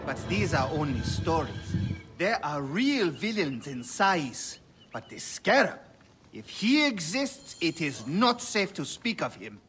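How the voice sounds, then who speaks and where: A man voicing a grave video game storyteller who speaks in an ominous, measured way of feared villains. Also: fairly high pitch, sing-song, exaggerated voice. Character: grave man telling dark local tales of a feared villain. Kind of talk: dramatic video game acting. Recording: loud music behind.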